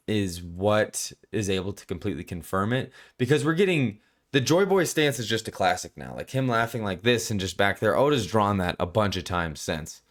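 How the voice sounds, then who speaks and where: A man giving casual, unscripted commentary as a reviewer, rambling and halting as he goes and often repeating himself. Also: lowish voice. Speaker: manga chapter reviewer.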